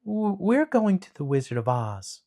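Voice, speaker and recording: Man doing a brave little girl, very high, lively pitch, earnest, storybook. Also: moderate pace. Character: young girl, brave and practical.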